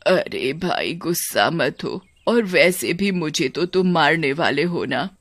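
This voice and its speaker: A man playing a gentle old village mother. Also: fairly high pitch, animated, no echo, clean studio sound, full character voice. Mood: coaxing. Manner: gentle, slow, coaxing. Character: elderly village mother, affectionate, coaxing, calm. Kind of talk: dramatised horror storytelling.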